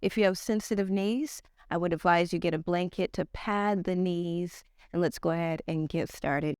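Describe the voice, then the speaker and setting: Stuffy-nosed woman teaching yoga, low voice. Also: smooth, no echo, clean studio sound. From Nigerian-American. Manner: casual slangy teacher, slow calm cues. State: stuffed up, slightly nasal. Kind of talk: guided yoga instruction.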